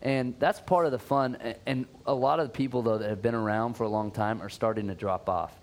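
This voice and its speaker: A man's voice: mid-range voice, brisk. Chatty male podcast host, lots of "uh". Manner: chatty, filler-heavy, upbeat. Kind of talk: casual podcast chat.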